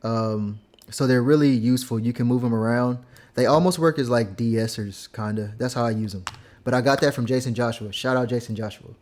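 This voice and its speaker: A man's voice: medium pitch, fairly quick, darkish tone. Laid-back young man explaining mixing. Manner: laid-back, rambling, heavy use of "like" and "um". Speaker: music producer teaching vocal mixing. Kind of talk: casual screen-recorded tutorial.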